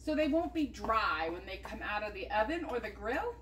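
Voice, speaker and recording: A woman's voice: mid-range voice, big pitch swings, moderate pace, background noise. A woman from the United States chatting casually as she walks viewers through a cooking demonstration in her home kitchen, recorded on an overhead camera. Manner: chatty, talks viewers through each step. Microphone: camera mounted above the counter.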